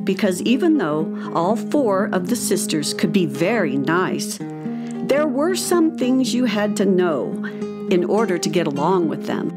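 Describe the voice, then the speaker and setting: A woman narrates a children's tale with slightly low pitch and very varied intonation. She tells it in a measured, expressive way, with prominent music underneath. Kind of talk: scripted children's storybook narration.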